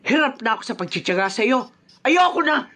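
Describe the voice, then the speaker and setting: A woman playing a callous, money-minded mistress in a Filipino radio drama, scornful and sharp-tongued. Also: a little high, animated, fairly quick. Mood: scornful, fed up.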